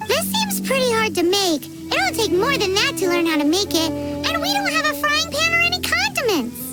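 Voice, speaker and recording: A tiny fairy sidekick from a video game. The voice is extremely high, childlike and cheeky. It leaps about in pitch, with loud music behind. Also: female voice, very animated, moderate pace. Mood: doubtful. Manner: speaks of self in third person, childish. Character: tiny squeaky childlike fairy companion, cheeky and food-loving.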